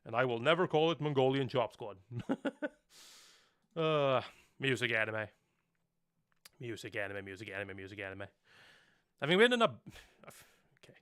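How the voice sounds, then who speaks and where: Man, young adult, Norwegian native speaker, rambling, laughs a lot, bright tone. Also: mid-range voice, expressive, a little slow, pauses often, clear, resonant tone. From Norway. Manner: rambling, self-correcting, laughs often. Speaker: streamer. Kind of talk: casual live-stream chat.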